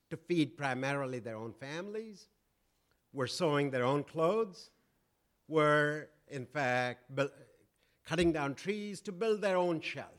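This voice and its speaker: A man lecturing on economics to an audience, with a loud voice and frequent fillers and restarts. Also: mid-range voice. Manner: loud, halting with many fillers. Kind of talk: public lecture.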